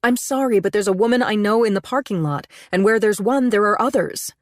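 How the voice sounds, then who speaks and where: A woman narrator voicing an anxious, defensive married woman who pleads in a high, strained way. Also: lively intonation, normal speed, dry recording, clean studio sound. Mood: alarmed. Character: anxious middle-aged woman, defensive, rattled.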